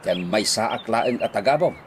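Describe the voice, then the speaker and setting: A man's voice: lowish voice, expressive, normal speed, natural voice. An actor playing a blunt friend who scolds his jobless pal in a preachy, emphatic way in a comedy radio drama. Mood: reproachful. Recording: clearly noisy ambience behind.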